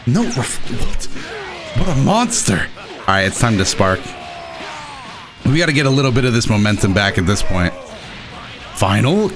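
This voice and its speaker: A young adult man giving excitable, self-deprecating live commentary over his own gameplay as the host. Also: medium pitch, moderate pace, pauses often, music in the background. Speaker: gaming video host.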